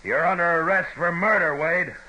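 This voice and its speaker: An actor playing a steady, terse American frontier marshal in an old radio western, with a commanding manner. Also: male voice, on the high side, moderate pace. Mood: urgent, commanding. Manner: laconic, commanding. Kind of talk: 1950s radio drama acting. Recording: noisy old radio broadcast recording.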